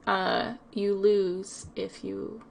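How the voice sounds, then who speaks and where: A woman's voice: mid-range voice, monotone, very slow, vocal fry. A woman chatting casually on an online voice call, blunt and teasing, stopping to correct the others. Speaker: stream co-host. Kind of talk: casual group chat on a voice call. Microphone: online voice chat mic.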